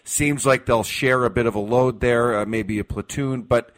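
A man's voice: medium pitch, steady tone, moderate pace. An American man hosting live sports radio, interviewing conversationally with an announcer's phrasing. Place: radio studio.

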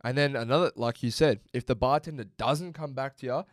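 A man's voice: medium pitch, fairly quick, no room sound, clean studio sound. A young man, co-hosting a podcast in a laid-back, swearing, joking way. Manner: laid-back, swearing, laughs at his own jokes. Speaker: podcast co-host. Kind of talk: casual chat.

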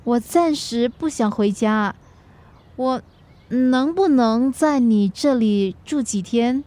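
An actress playing a troubled, easily wounded wife in a radio drama, emotional and pleading, with a Singaporean accent. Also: female voice, fairly high pitch, slow pace, dark tone. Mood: troubled, subdued.

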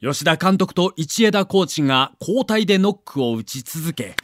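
A man's voice: a little high, expressive, normal speed, no echo, clean studio sound. A man giving dramatic scripted narration for an audio documentary.

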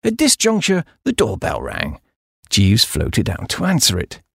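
A man's voice: fairly low pitch, huge pitch swings, measured pace, gravelly, fairly bright tone, husky, no room sound, studio quality. A man with an English accent reading a comic story as scripted audiobook narration.

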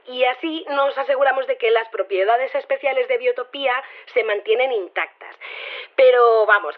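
An actress plays an earnest woman being interviewed in an audio drama. She is flustered and argumentative, stumbling over her words in a slightly high voice. The sound is tinny and narrow, like a phone line. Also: lightly acted. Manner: hesitant, stammering, argumentative. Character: Sofía, earnest flustered believer in a mountain-god community theory.

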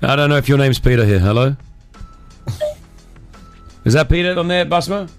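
A man's voice: a little high, animated, normal speed, soft background music. A man hosting a radio show, chatting casually with a co-host and taking listener calls, laughing often.

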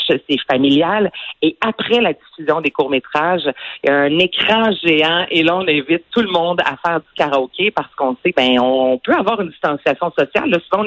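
A woman with a Québécois accent giving a lively, chatty culture segment on the radio. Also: low-pitched, no room sound, rough recording. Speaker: culture columnist.

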